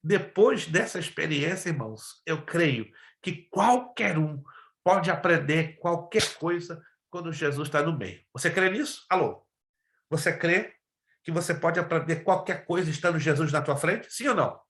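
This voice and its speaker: A man's voice: a little high, lively intonation, normal speed, fairly bright tone. A man from Brazil with Spanish as his native language and a Brazilian accent, teaching like a preacher, emphatic and exhorting, repeating phrases and putting questions to his listeners. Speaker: pastor teaching a theology class. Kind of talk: preacherly lesson with personal testimony. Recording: roomy, echoing sound.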